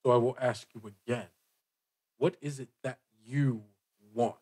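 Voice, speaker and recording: A man's voice: mid-range voice, fairly even intonation, darkish tone, breathy edge. A man voicing a proud, guarded church dignitary, speaking formally and coldly in a tabletop roleplay. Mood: guarded, demanding. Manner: formal, haughty, deliberate.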